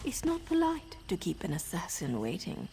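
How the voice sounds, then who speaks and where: An actress playing a poised professional assassin and mentor in a video game, with a wry, measured, self-assured delivery. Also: female voice, lowish voice, huge pitch swings, creaky, noisy background, full character voice. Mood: wry, teasing.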